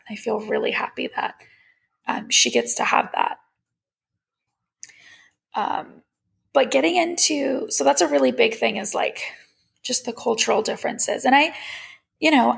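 Young woman podcasting off the cuff, tons of vocal fry, kinda husky, candid and chatty. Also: a little high. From Iran. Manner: candid, reflective, conversational. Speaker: podcast host. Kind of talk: casual personal monologue.

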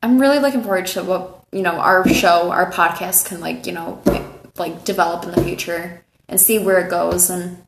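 A woman's voice: lowish voice, moderate pace, gravelly. A young woman co-hosting a casual chat podcast, giggly and full of "like" and "you know". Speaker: co-host. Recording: echoey room, faint noise.

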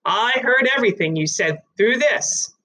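A woman voicing a calm male police inspector in a storybook reading, formal and measured as he accuses. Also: mid-range voice, rough recording. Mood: stern.

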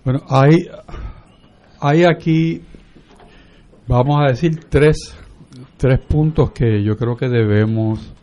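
A man with a Puerto Rican accent hosting political talk radio, laying out his points in a deliberate, measured way. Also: mid-range voice, mellow, a little hiss or hum. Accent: Puerto Rican Spanish.

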